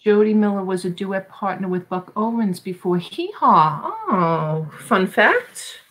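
A woman's voice: medium pitch, lively intonation, a little slow, a bit bright. A middle-aged woman with a New York accent, hosting a live auction and chatting casually as she reads out album titles. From Long Island, New York. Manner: chatty, thinking aloud while reading album titles. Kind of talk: casual live-stream selling records. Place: small home room full of shelves.

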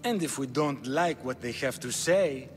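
An actor playing a measured, formal and authoritative Spartan king in a video game. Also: mid-range voice, big-room sound, lightly acted. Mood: reasoning. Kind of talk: dramatic video game acting. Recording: music behind dialogue.

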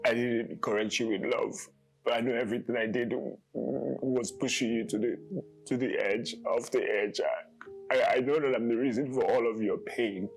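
A man's voice: mid-range voice, steady tone, normal speed, vocal fry, faint music behind, lightly acted, rough recording. A man playing a stammering, pleading husband. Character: remorseful husband begging forgiveness.

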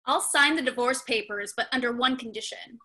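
A woman's voice: fairly high pitch, brisk, pushed and bright. A woman reading comic roles at a table read, with a brash, bawdy delivery. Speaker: actor reading several roles.

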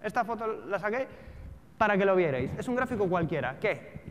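A man's voice: high voice, big pitch swings, fairly quick. A man in his thirties with a European Spanish accent, giving an informal, colloquial talk to a live audience, full of rhetorical questions. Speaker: speaker, trader and trading educator. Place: large auditorium stage with seated audience. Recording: echoey hall with background noise.

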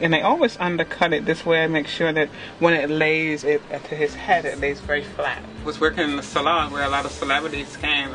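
A middle-aged woman from Barbados, a theatre makeup artist who explains her work step by step in a lively way and laughs often. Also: sits low, rough recording. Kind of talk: demonstrating work while talking to the camera. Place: small backstage dressing room, indoors.

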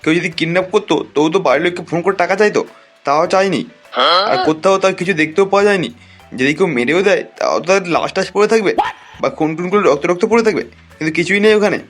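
A bossy, gossipy village big brother in a cartoon, acted by a man. He talks fast in a highish voice, crude and scolding, with music behind. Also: lightly acted. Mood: warning. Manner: crude, scolding, explaining at length. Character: gossipy, bossy village elder-brother type, easily exasperated. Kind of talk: cartoon voice acting.

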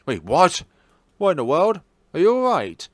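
A man's voice: a little high, moderate pace, no room sound, pitched above the performer's own voice. A man voicing an optimistic, caring teenage schoolboy in a visual novel, speaking earnestly. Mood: concerned. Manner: earnest, animated. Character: upbeat, caring teenage schoolboy, stubbornly optimistic best friend.

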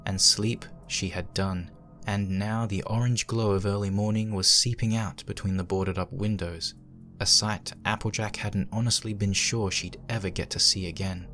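A man's voice: on the low side, steady tone, normal speed, fairly bright tone, faint music behind. A man narrating a horror fan fiction as a dramatic scripted reading.

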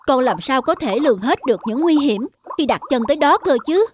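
Fretful, animated mother fish. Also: high voice, brisk, noisy background. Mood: stern, alarmed. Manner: stern, fretful. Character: worried, scolding mother red dragon fish. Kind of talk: animated children's cartoon dubbing. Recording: music under the voice.

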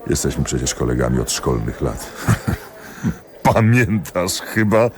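A man acting in a radio play as a cynical, jealous middle-aged examining magistrate who speaks emphatically and haltingly. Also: rumbling low, big pitch swings, heavily acted. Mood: confiding. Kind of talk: theatrical radio drama dialogue.

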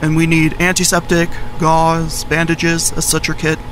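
A man playing an earnest, respectful young field medic in a fan comic dub, careful and a little halting. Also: fairly high pitch, completely flat delivery, noisy background, natural voice. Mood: earnest, focused. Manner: deferential, careful, halting under pressure.

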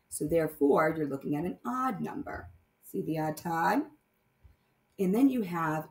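Animated woman teacher in a roomy space. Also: lowish voice, gravelly. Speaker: primary math teacher. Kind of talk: read-aloud math lesson for young children.

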